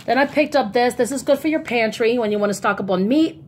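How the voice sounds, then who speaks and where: A woman's voice: a little high, fast, a little mellow. A woman chatting casually and informally in a rambling style, as a vlogger showing off her shopping. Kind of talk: casual haul vlog chat.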